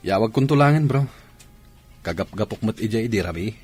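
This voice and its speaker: A man's voice: lowish voice, animated, fairly quick, a little mellow, slight background noise, close to an everyday voice. A man with a Filipino Ilocano accent acting as a brotherly soldier in a radio drama. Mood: casual. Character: soldier with a secret lover, brotherly.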